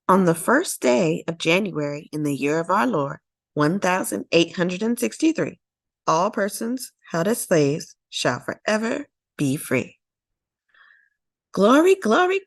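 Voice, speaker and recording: A woman's voice: on the low side, no room sound, studio quality. A woman narrating a children's storybook in a dramatic, emphatic read-aloud style, drawing out key words.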